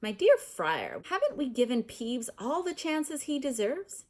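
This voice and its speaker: A woman's voice: high-pitched, big pitch swings, unhurried, darkish tone, pitched above the performer's own voice. A woman voicing an indignant, pompous male ghost in a storybook, with exclamatory delivery.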